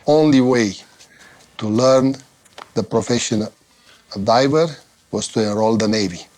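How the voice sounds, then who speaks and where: A middle-aged man from Romania with a Romanian accent, explaining his trade in a measured, instructive interview manner. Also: medium pitch, darkish tone. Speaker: dry suit maker and former diver.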